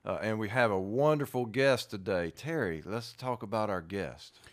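Low-voiced relaxed male co-host. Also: moderate pace. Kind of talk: talk show hosting.

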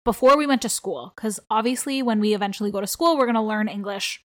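A woman's voice: medium pitch, fast talker, fairly bright tone. A young adult woman from Toronto, whose first language is Armenian, chatting casually and joking along. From Toronto, Canada. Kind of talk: casual chat.

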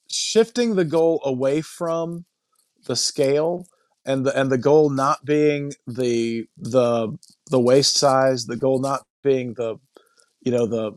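A middle-aged man talking casually and reflectively as a podcast guest, thinking aloud in a halting way and repeating phrases. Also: mid-range voice, no echo. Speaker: podcast guest, coaching client. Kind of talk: casual reflective interview talk.

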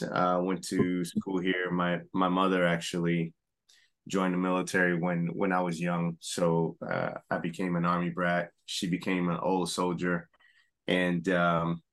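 A middle-aged man from Puerto Rico, a guest in an interview, telling his story in a relaxed way with frequent restarts. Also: deep voice. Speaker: guest, apparel company founder. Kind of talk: conversational interview.

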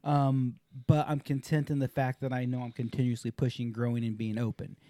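A man's voice: medium pitch, level tone, a little fast, warm tone, no echo. Frank, profane man in his forties. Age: 40s. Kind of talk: candid podcast conversation.

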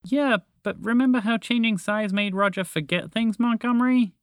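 A little storybook mouse with a high, animated voice, put on by a man. He is anxious and excitable, pressing each worry emphatically. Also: slow, gravelly, no echo, clean studio sound, heavily acted. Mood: worried. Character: small, worried, excitable male talking mouse.

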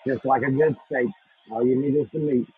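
A man's voice: medium pitch, steady tone, brisk, breathy edge, dry recording, phone-quality sound. A man co-hosting a podcast in casual chat, blunt and teasing. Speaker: podcast co-host.

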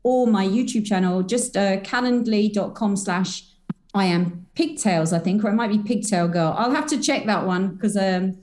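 A woman's voice: mid-range voice, moderate pace, darkish tone. Bubbly rambling woman. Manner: bubbly, rambling, spiritual jargon. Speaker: podcast guest, energy healer. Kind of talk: casual podcast chat.

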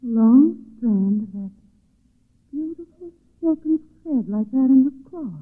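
A high-voiced, uneasy radio heroine. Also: female voice, animated, a little slow. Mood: curious, uneasy. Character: curious, polite, uneasy young woman drawn into danger. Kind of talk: 1940s radio drama acting and inner monologue. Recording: narrow, phone-like old radio recording.

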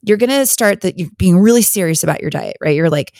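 A hoarse woman hosting a podcast, talking in a candid, chatty, rambling way on her own. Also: on the low side, lively intonation, fast, a little mellow, no room sound, studio quality. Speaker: podcast host. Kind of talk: casual solo podcast chat.

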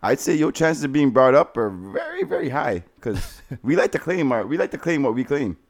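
A man's voice: medium pitch, huge pitch swings, moderate pace. A man co-hosting a casual podcast, joking and blunt with slangy talk. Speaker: podcast co-host.

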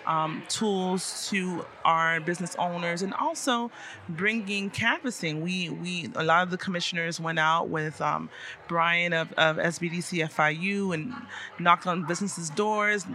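Young female interview guest, strikingly bright tone, earnest conversational delivery, slight background noise. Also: fairly low pitch, measured pace. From South Florida, United States. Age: young adult. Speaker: interview guest, city commissioner and small business owner. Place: conference venue at a hotel. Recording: faint background noise on location.

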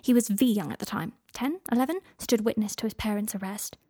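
A woman reading aloud a letter in the voice of a hurried, excitable young witch who writes in clipped notes. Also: mid-range voice, slightly rough, no room sound, clean studio sound. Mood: excited. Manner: rushed, clipped note-taking.

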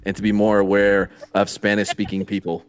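A man co-hosting a casual streamed group chat, giving a deadpan mock apology and teasing. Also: lowish voice, fairly quick, soft background music. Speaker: streamer co-host.

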